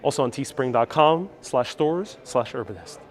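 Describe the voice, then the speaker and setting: A man's voice: medium pitch, lively intonation, normal speed. A man hosting a casual live stream outdoors in a city, chatting with viewers and reading out their comments. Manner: chatty and upbeat, thanks viewers by name. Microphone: wireless clip-on mic.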